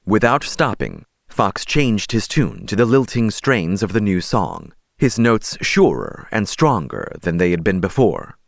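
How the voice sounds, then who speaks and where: A man narrates a story as an audiobook reader. His voice is low and creaky, with highly animated intonation. The recording is close and dry. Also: unhurried, hardly any pauses, dry recording.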